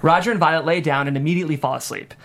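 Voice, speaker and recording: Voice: mid-range voice, fairly even intonation, very fast, fairly bright tone. A screenplay narrator with a mid-range voice. The delivery is scripted narration, setting out scenes and actions at a table read. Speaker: stage directions reader.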